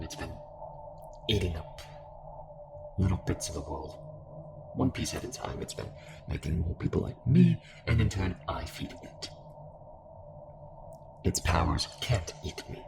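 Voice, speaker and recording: A man playing a calm, sinister cult fanatic fused with a devouring entity, taunting in measured monologue in an audio drama. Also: sits low, creaky. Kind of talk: dramatic audio roleplay acting. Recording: music under the voice.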